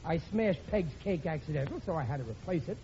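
A man's voice: fairly high pitch, expressive, fast talker, warm tone. A man playing a bumbling, boastful working-class husband in 1950s radio sitcom style. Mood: smug. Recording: muffled, noisy old radio broadcast.

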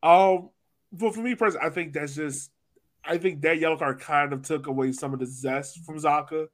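A man's voice: a little high, moderate pace. A man hosting a casual, conversational sports discussion as a podcast host.

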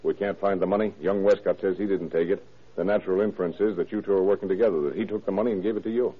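An actor playing a calm, shrewd, fatherly American police lieutenant in a classic radio detective drama. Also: low voice, very fast, husky. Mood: measured, probing. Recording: muffled, tinny old radio broadcast.

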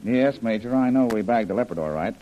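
An actor playing a rugged, wry adventurer hero who muses aloud, in the style of old-time radio drama. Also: male voice, fairly low pitch, animated, fairly quick, highs cut off, natural voice. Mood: wry, musing.

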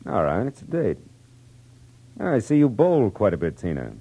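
A man's voice: on the low side, huge pitch swings, normal speed, vocal fry, warm tone, breathy edge, heavily acted. An American actor playing a wry, flirtatious, tough-talking male private detective in a 1940s radio drama. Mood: sardonic, needling. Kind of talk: 1940s radio acting with first-person narration. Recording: old radio recording with music.

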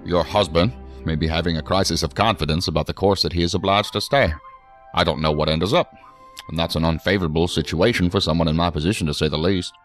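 A man playing a weary, wry assistant director and husband in a science fiction audio drama, articulate and measured. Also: low voice, soft background music, close to an everyday voice. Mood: weary, troubled.

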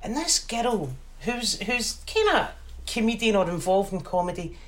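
A woman's voice: medium pitch, a little slow. A woman in her forties with a Glasgow accent, a guest telling stories in a casual interview and acting out other people's voices. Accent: Glaswegian. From Scotland. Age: 40s. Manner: animated storyteller who acts out other voices.

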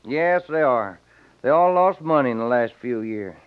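An actor playing a friendly, folksy rancher with a Western American accent, in an old-time radio western. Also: male voice, medium pitch, animated, moderate pace, smooth, close to an everyday voice. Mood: easygoing. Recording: dull vintage radio recording.

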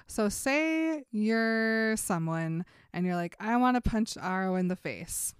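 A young woman co-hosting a podcast, chatting casually as she retells a book's scenes with quick put-on quotes and frequent laughs. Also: mid-range voice, expressive, clear, resonant tone, no room sound, clean studio sound. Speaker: podcast co-host. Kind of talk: casual book discussion chat.

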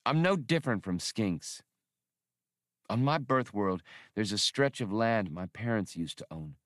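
A man's voice: on the low side, lively intonation, unhurried, dry recording, studio quality. A man voicing a proud, blunt young working-class woman spacefarer in an audiobook, speaking emphatically. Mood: proud, firm. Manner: scolding, emphatic.

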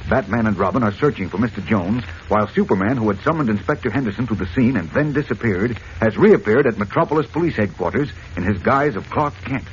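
Animated 1940s male radio announcer. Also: fairly low pitch, fast, hardly any pauses. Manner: dramatic, emphatic. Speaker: announcer and narrator. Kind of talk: 1940s radio scripted narration. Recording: muffled, hissy old radio recording.

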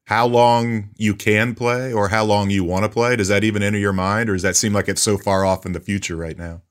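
A man with a fairly low voice, working as a sports interviewer. His questioning is casual and conversational. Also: steady tone, a little fast.

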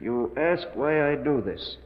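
A man's voice: mid-range voice, expressive, measured pace, natural voice. An actor playing a tense, guilty man trapped in a cave, in emphatic 1940s radio melodrama. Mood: grim, reading aloud. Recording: muffled 1940s radio recording, reverberant.

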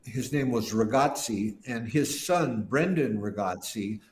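Older man, interview guest, rambling anecdotes, restarts sentences, mid-pitched voice, roomy echo. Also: lively intonation, a little slow, a little hiss or hum. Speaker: guest musician. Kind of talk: casual interview storytelling.

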